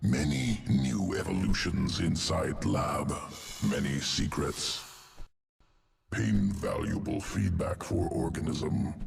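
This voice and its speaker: A man voicing a cold, clinical alien creature in a video game, gravelly, speaking in clipped, detached, analytical fragments. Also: rumbling low, full character voice. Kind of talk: video game character dialogue.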